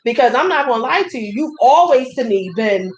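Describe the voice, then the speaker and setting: Woman, thirties, live-stream host, chatty and folksy, higher pitch. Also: animated, a little fast, highs cut off. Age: 30s. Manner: chatty, folksy, addresses viewers as y'all. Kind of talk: casual live-stream talk.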